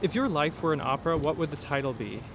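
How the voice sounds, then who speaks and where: A man with a fairly high voice asks a string of short interview questions in a brisk, upbeat way. He is outside, and clear street and traffic noise is heard behind him. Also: lively intonation, highs cut off. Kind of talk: quick-fire interview questions. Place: outdoors on a city street.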